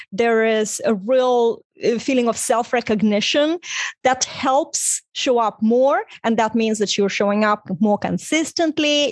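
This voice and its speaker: A middle-aged woman from Estonia whose first language is Russian talks in a fairly high voice. She sounds close and dry, chatting as a marketing strategist being interviewed. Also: no echo. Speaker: guest, online visibility strategist and coach. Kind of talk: casual conversational interview.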